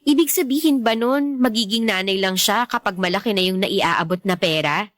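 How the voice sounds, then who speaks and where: A woman's voice: medium pitch, normal speed, fairly bright tone, no echo, studio quality, lightly acted. A woman with a Filipino accent playing a supportive young girlfriend, speaking casually and earnestly in a radio drama. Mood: concerned, affectionate. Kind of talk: Tagalog radio drama dialogue.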